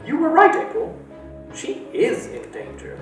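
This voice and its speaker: A man voicing a brisk, no-nonsense elderly woman who speaks in a curt, scolding way. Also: very high-pitched, wildly swooping pitch, creaky, a bit bright, heavy reverb, distant mic, soft background music, voice pushed up, full character voice. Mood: grave. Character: brisk, no-nonsense old woman, retired actress.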